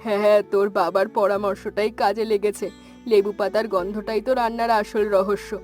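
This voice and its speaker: A woman's voice: mid-range voice, level tone, normal speed, a little mellow, soft background music, close to an everyday voice. A woman voicing a kindly, encouraging old village grandmother in a cartoon story. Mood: content, affectionate. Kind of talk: cartoon story dialogue in Bengali.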